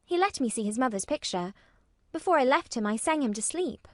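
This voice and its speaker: A woman's voice: high-pitched, lively intonation, fairly quick, dry recording, clean studio sound, pitched above the performer's own voice. A woman voicing a blunt, self-assured young girl who speaks in a clipped, matter-of-fact way.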